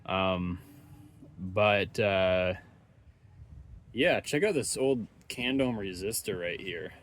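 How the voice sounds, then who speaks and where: A man's voice: sits low, slow pace. A man giving casual, unscripted commentary on hands-on repair work, thinking aloud in an offhand, dryly joking way. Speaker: host of radio repair videos. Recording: steady background noise, ambient sound.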